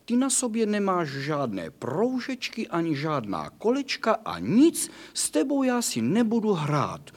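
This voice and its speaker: A man's voice: high-pitched, wildly swooping pitch, hardly any pauses, pitched above the performer's own voice, heavily acted. A vain, finely embroidered nightshirt in a children's tale, voiced by a man. She talks down her nose, her voice swinging widely. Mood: haughty. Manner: haughty, dismissive. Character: proud, haughty rich embroidered nightshirt.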